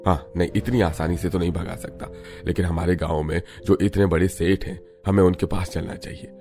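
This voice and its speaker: A man playing a calm, practical village headman in a cartoon story, explaining in a measured way. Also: sits low, faint music behind. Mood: reassuring. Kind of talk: Hindi cartoon story acting.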